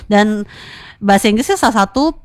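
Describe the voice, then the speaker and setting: A woman's voice: medium pitch, lively intonation, fast, dry recording, clean studio sound. A woman co-hosting a talk show in casual, chatty, explanatory style, mixing in English phrases and addressing listeners informally.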